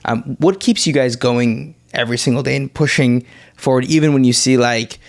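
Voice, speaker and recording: A man's voice: medium pitch, moderate pace. A man with a mid-range voice hosting a podcast interview. He asks long questions hesitantly, with frequent ums and restarts. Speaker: podcast host.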